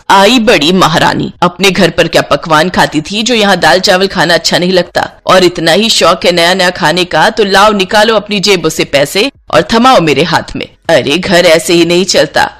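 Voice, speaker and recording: A woman's voice: lowish voice, fairly bright tone, close to an everyday voice. A woman voicing a stingy, scolding older Indian mother-in-law in a bossy, nagging way for a story.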